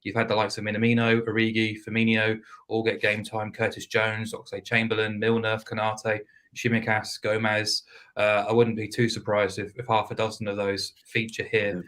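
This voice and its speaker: A man talks football betting in a low, fairly level voice. He sounds a little hoarse and speaks in a measured, unhurried way, with frequent ums and restarts. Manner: measured, hesitant with fillers, flat delivery. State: losing his voice. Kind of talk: casual podcast chat.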